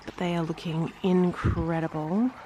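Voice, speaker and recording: A woman's voice: lowish voice, steady tone, a little slow, warm tone, slight background noise. A woman with a slightly nasal, congested voice, getting over an illness, giving a chatty, casual garden tour as a vlog host. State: recovering from illness, sinusy. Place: outdoors in a garden.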